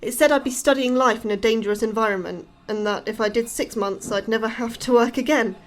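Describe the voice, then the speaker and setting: A woman's voice: fairly high pitch, a little fast, soft background music, natural voice. A woman playing an anxious, wary scientist newly recruited in a fiction podcast, speaking hesitantly and full of uncertain questions. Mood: wary, puzzled. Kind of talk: audio fiction podcast acting.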